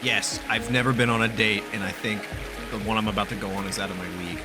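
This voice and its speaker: A man's voice: fairly low pitch, flat delivery, quick pace. A man doing casual gaming playthrough commentary in a slangy, joking, cheeky way. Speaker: gaming streamer. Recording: loud game music behind. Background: video game music.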